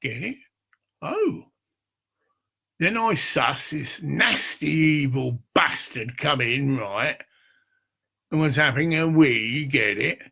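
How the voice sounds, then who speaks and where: An older man with a gravelly, husky voice, rambling and swearing in a loose monologue, often checking "get it?". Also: on the high side, animated, slow pace, long pauses, bright, forceful tone. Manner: rambling, profane, keeps asking "get it?". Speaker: street preacher.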